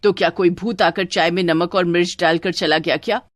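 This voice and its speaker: A woman's voice: fairly low pitch, steady tone, a little fast, no room sound, studio quality, lightly acted. A woman voicing a stern, accusing middle-aged mother-in-law who scolds, in a cartoon. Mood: angry, accusing.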